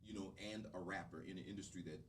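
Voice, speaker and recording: A man hosting a casual radio interview in a studio, talking loudly and effusively, close on the mic. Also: low voice, quick pace, background noise. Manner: loud, effusive, animated. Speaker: radio host.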